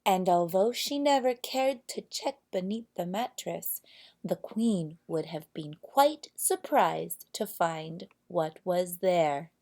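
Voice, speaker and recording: A woman narrating a children's fairy tale from a storybook, with deliberate, expressive phrasing. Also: on the low side. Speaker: storybook reader.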